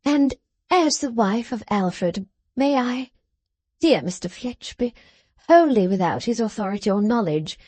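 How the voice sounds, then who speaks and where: Female reader playing a scheming wife who gushes; slow, mellow, pleading lines. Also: on the high side, expressive, breathy edge, no room sound. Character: gushing, scheming society wife, adult woman. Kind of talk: audiobook character voice.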